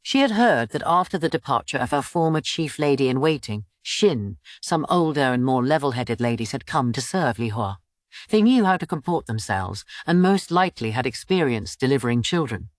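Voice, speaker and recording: A woman's voice: extremely low pitch, lively intonation, normal speed, no room sound, studio quality. A woman reading scripted story narration for an audiobook.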